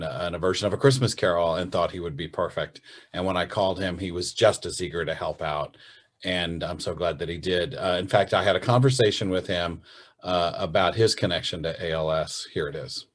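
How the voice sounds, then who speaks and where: A male radio host giving a casual, halting introduction full of fillers. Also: low-pitched. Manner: relaxed, halting, with many fillers.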